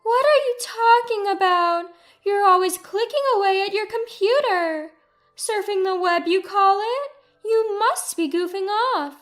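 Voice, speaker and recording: Lady doing a bossy older mother in law for a family drama story, really high voice, scolding and snooty. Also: unhurried, a bit bright, faint music behind. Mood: scornful. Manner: accusing, indignant, contemptuous.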